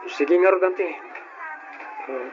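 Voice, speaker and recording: A man's voice: high-pitched, takes it slowly. A man playing a meek, weary, put-upon young nephew in a radio drama, speaking with an Ilocano accent. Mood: meek, reluctant. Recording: muffled, noisy old radio drama.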